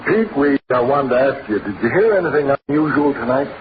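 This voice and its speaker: Tinny, noisy old radio: man playing casual beat cop, mid-pitched, plain-spoken. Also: lively intonation, fairly quick, lightly acted. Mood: businesslike. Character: easygoing patrol cop questioning a neighbor. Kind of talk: 1950s radio drama acting.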